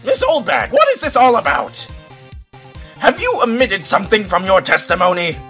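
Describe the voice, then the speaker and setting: A man's voice: sits high, wildly swooping pitch, moderate pace, bright, forceful tone, faint music behind, exaggerated voice. A man voicing a flustered, formal older judge who exclaims his questions in an amateur courtroom fan dub. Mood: startled.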